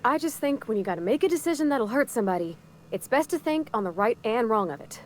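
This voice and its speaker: A woman's voice: fairly high pitch, expressive, fast, a little hiss or hum, lightly acted. An actress playing an earnest, shy young woman mechanic in a frontier colony video game, speaking in a hesitant, folksy, polite way. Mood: thoughtful, earnest.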